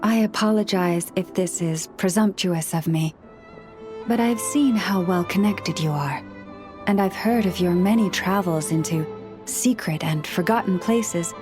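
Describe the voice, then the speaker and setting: A woman's voice: lowish voice, lightly acted. Woman as a courteous, scholarly young priestess, music behind. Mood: gracious, courteous. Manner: formal, courteous, measured. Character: gentle, scholarly young woman, a nature-loving moon priestess. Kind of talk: video game voice acting. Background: background music.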